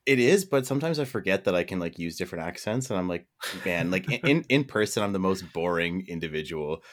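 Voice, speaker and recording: A man's voice: low voice, expressive, fast talker. A man with a Canadian accent joining a podcast as a guest, tired, rambling and self-deprecating with laughs. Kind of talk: casual podcast chat.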